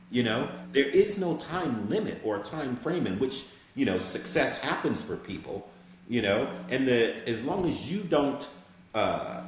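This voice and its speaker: A man who is a voice actor, speaking earnestly and reflectively as a guest in a casual interview, often checking that he is understood. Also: medium pitch. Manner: reflective, earnest, often asks "you know what I'm saying". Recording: very echoey room with faint background noise.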